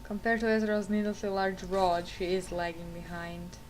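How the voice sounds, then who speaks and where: A woman's voice: fairly low pitch, flat delivery, moderate pace, a little hiss or hum, poor audio. A woman with a Croatian accent giving chatty, explanatory color commentary on a video game match. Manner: chatty, explanatory analysis with fillers.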